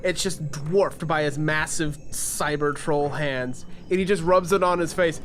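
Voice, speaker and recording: A man's voice: a little high, unhurried, a bit bright, a little hiss or hum. A man working as game master, narrating scenes and calling for rolls in a tabletop role-playing game.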